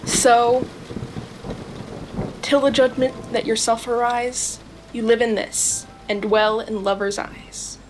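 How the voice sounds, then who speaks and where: A woman's voice: on the high side, little pitch movement, slow pace, over music, low-quality recording. A young woman performing a dramatic verse monologue as a devoted lover. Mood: devoted, resolute. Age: young adult. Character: devoted lover proclaiming undying praise.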